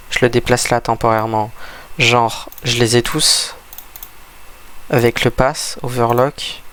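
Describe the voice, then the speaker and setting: Gravelly man with a head cold, rambling. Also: medium pitch, fairly bright tone. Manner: rambling, hesitant, many restarts. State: stuffy from a cold. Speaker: gaming video creator. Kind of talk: casual gameplay commentary.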